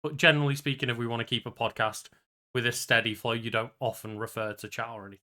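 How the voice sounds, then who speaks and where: A man with a bright, forward voice chats casually as a podcast co-host. His delivery is loose and conversational, like banter between friends. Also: mid-range voice, little pitch movement, quick pace.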